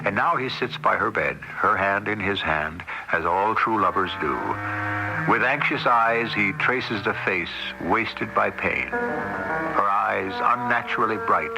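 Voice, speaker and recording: A man hosting and narrating an old-time radio mystery drama in a gravelly, measured, solemn voice. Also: fairly low pitch, lively intonation, low-quality recording. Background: music.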